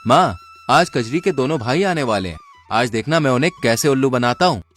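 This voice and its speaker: A man's voice: medium pitch, lively intonation, a little fast, fairly bright tone, soft background music, natural voice. A man voicing a cunning, confident young village man in a cartoon moral story, speaking persuasively.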